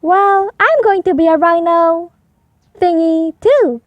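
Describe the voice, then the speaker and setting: A woman voicing a stubborn, curious, polite young boy hippo for a storybook, in an emphatic, drawn-out way. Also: extremely high pitch, no room sound, dull audio, pitched above the performer's own voice. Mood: eager, curious.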